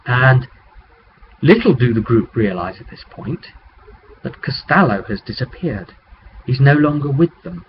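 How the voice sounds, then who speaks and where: A British man chattily recapping a tabletop roleplaying session as game master, in a casual, informal vlog style with asides to the viewer. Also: medium pitch. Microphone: webcam microphone. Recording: muffled webcam audio with clear noise.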